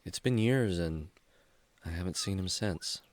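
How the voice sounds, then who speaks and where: A man's voice: sits low, animated, moderate pace. A man playing a friendly, earnest young adventurer in a children's radio drama, speaking like a clean-cut storyteller. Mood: somber storytelling.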